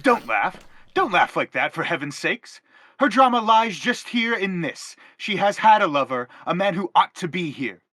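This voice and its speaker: A man's voice: sits high, sing-song, moderate pace, bright, forceful tone, dry recording, studio quality, heavily acted. A man playing an earnest, self-justifying father in a stage drama, speaking in an emphatic, declamatory way. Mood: indignant. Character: earnest, self-justifying father pleading his family's case. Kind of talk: theatrical stage play acting.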